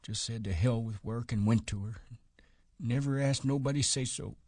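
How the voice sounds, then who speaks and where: A man's voice: mid-range voice, normal speed, darkish tone, no room sound, clean studio sound, pitched above the performer's own voice, close to an everyday voice. A man voicing a grieving old male ranch hand who speaks in a bitter, shaky sing-song.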